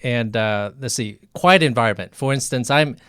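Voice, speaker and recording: A man hosting a conversational live interview as a physician, speaking haltingly and repeating phrases, in a quiet sound-treated room. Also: medium pitch, noisy background.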